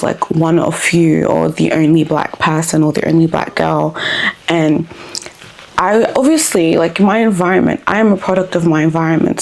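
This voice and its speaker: A woman talks to camera in a reflective personal vlog, her voice sitting somewhat low and crackling with vocal fry. She meanders as she thinks, restarting lines and filling pauses with um and like. Her delivery stays unhurried and candid. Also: a little mellow. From born in Africa, grew up in Australia. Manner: thoughtful, rambling, frequent ums and likes. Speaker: vlogger.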